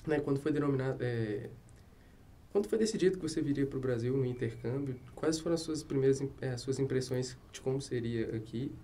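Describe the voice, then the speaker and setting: A man's voice: mid-range voice, brisk, warm tone, a little hiss or hum. A male student interviewer asking long, hesitant questions full of fillers.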